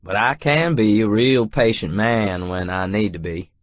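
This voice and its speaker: A man's voice: lowish voice, measured pace, no echo, lo-fi sound. A man narrating a first-person romance audiobook in a folksy, colloquial way.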